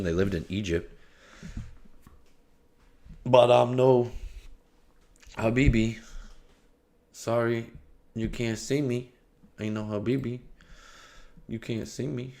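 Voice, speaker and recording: A man streaming casual commentary, joking and rambling, often trailing off, restarting and scatting nonsense syllables. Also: lowish voice. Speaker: streamer reacting to a video.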